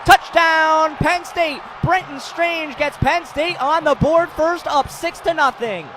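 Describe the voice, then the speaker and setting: High-pitched young American man calling football. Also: slow, a crowd in the background. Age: young adult. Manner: clipped, excitable, projects loudly on big plays. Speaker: play-by-play football announcer. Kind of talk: live American football play-by-play commentary. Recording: clear noise, stadium sports broadcast.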